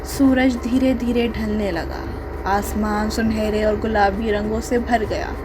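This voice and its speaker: A woman's voice: medium pitch, fairly even intonation, a little slow, background noise. A woman reading a scripted children's story as the storyteller.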